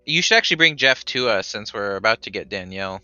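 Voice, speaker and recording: Male player, lowish and very sharp-toned, muffled recording, faint music. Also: expressive, quick pace, no echo. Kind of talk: tabletop game chat.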